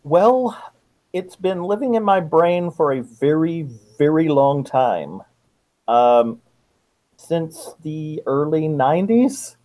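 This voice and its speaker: A middle-aged man answering interview questions as a guest author, in a measured, reflective manner. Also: a little high, expressive, warm tone. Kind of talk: interview answers about writing.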